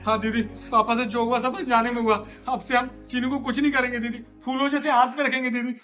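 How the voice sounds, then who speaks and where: A man's voice: very high voice, level tone, fast talker, bright, forceful tone, soft background music. A man acting a meek young husband who begs and shifts blame in a melodramatic short film. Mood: frightened pleading.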